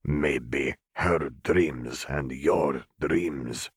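A man voicing a huge, calm male fish-folk cook who speaks in halting, broken phrases with a rumbling voice. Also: no echo, studio quality, exaggerated voice. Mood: calm, cryptic. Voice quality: rumbling, gravelly.

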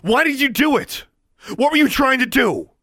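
A man voicing a fierce, grieving teenage girl witch in a storybook reading, with a high strained voice. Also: brisk, dry recording, studio quality, natural voice. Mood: furious. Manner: high strained voice, shouting to whispering.

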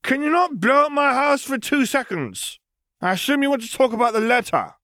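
A cheeky young girl from a fantasy storybook, acted out by a man. The voice sits high above a normal male range, in a close, dry recording. It is breezy and flippant, with a mock-polite manner. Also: big pitch swings, a little fast, bright, forceful tone, dry recording, full character voice. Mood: brash.

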